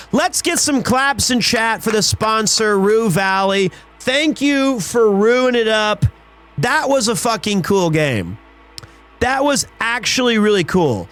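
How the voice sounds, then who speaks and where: A man in his thirties, chatting casually with viewers on a live stream, slightly raspy and hoarse after being sick, rambling and excitable. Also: high voice. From Wales. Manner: rambling, restarts often, excitable.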